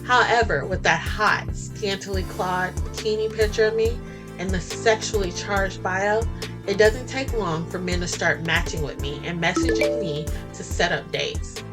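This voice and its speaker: A woman with a mid-range voice tells a romance story in the first person. Her delivery is expressive, flirty and sassy, like a storytelling podcast, and music plays softly behind her. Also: mid-range voice, a little slow. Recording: music bed under the voice.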